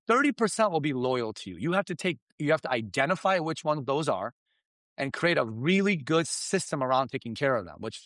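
Guest expert, male, first language Farsi, animated and emphatic, lively pitch, dry close sound. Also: dry recording. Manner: emphatic, animated, keeps asking "right?" and "you understand?". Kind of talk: energetic business coaching talk.

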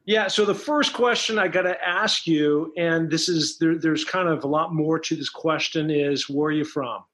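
A man's voice: on the high side, moderate pace, fairly bright tone. A middle-aged man hosting an interview podcast, talking in a friendly, rambling way. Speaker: podcast host.

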